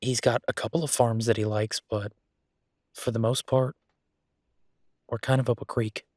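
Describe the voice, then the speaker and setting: A male actor as an exasperated but affectionate boyfriend who runs a restaurant, in an intimate audio roleplay. His voice is husky and mid-pitched, recorded very dry. He talks in a conversational, halting way, as if to his partner. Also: flat delivery, a little mellow, no room sound, close to an everyday voice. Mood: venting, appreciative.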